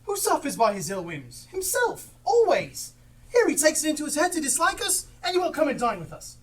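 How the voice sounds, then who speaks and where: A woman on stage playing a cheerful, forgiving young gentleman in a live amateur play, picked up by a distant mic. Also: high-pitched, wildly swooping pitch, a little fast. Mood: good-natured.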